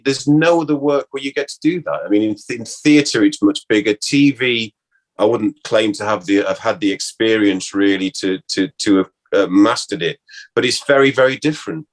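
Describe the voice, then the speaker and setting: A man, an actor being interviewed, talking casually and reflectively, haltingly repeating words as he thinks aloud. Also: lowish voice, poor audio.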